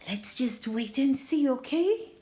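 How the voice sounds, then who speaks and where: Woman as a patient, mellow storybook mother. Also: sits high, expressive, slow pace, pitched above the performer's own voice. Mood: coaxing. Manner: encouraging, reassuring. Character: patient, gently coaxing mother, a little mysterious.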